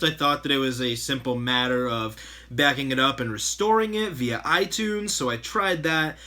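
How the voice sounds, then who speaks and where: A man hosting a casual storytime vlog, talking in a chatty, exasperated way with a voice that is slightly hoarse. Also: a little high, pushed and bright. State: slightly hoarse, losing his voice. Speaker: channel host.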